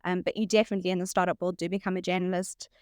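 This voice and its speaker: A woman's voice: lowish voice, little pitch movement, rapid-fire, a little mellow, smooth, no room sound, clean studio sound. A woman with a South African accent, speaking as a friendly, upbeat guest in a podcast interview. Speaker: guest, head of marketing. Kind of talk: casual interview chat.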